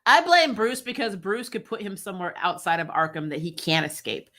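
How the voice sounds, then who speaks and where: A woman's voice: medium pitch, fairly quick. A woman in casual, opinionated conversation, arguing bluntly and swearing freely. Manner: blunt, animated arguing, sweary, laughs often. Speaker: host of a comics and film review channel.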